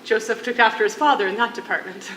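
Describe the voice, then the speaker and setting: Stage role: gentle bereaved mother in captivity played by a woman, highish bright voice, emotive delivery, echoey and distant. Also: quick pace. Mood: warmly curious. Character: grieving widowed mother, a gentle fellow prisoner. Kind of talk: live one-act stage play. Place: theatre stage, recorded from a distance. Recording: echoey, distant stage recording with faint noise.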